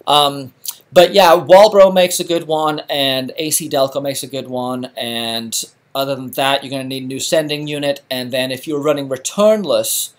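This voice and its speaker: A man's voice: mid-range voice, normal speed. Middle-aged guy from england, chatting loose on a live stream, lots of ums and restarts. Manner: relaxed and chatty, many fillers and restarts. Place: home garage.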